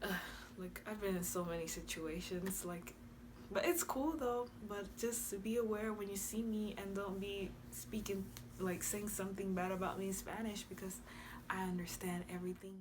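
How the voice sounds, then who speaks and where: A woman's voice: medium pitch, fairly even intonation, normal speed, noisy background. A young woman from Honduras vlogging casually to camera in her room, chatty, with many fillers and frequent laughs. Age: young adult. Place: bedroom with a flag behind her.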